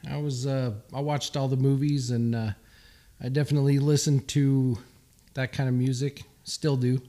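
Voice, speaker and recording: Hesitant male guest, first language Swedish, mid-pitched casual talk. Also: little pitch movement, normal speed, dark tone. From Utah. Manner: hesitant, many restarts and fillers. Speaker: podcast guest. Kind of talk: casual podcast chat.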